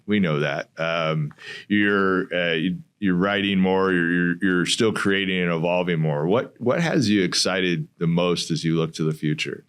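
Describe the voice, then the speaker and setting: A man's voice: low-pitched, fairly bright tone, clear, resonant tone. A man hosting a local podcast interview, talking earnestly with frequent fillers and restarts. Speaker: podcast host. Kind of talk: conversational interview.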